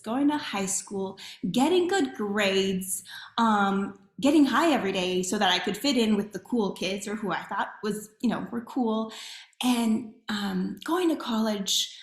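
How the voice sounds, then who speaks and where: A woman's voice: mid-range voice, echoey room. A woman in her thirties from Chile, a podcast guest telling her life story in a candid, reflective way with frequent "right?". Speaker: podcast guest, community builder. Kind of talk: personal storytelling interview.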